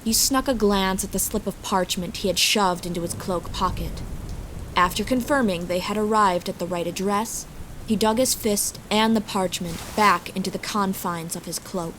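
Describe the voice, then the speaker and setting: Woman narrating fantasy amid steady rain. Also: mid-range voice, normal speed, slight background noise, rough recording. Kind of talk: scripted fantasy story narration. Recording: steady rain and storm ambience behind.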